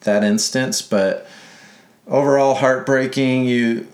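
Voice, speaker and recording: A man's voice: medium pitch, steady tone, slow. A young man from Mississippi co-hosting a casual sports podcast, rambling and correcting himself with a playful streak. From Mississippi, United States. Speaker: podcast co-host. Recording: echoey room.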